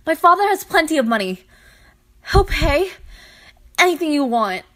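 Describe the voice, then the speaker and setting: A woman acting a frightened young girl in an audio drama. Her voice is high and swings widely in pitch. She pleads and insists, upset but defiant. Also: sing-song, normal speed, a bit bright. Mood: pleading defiance.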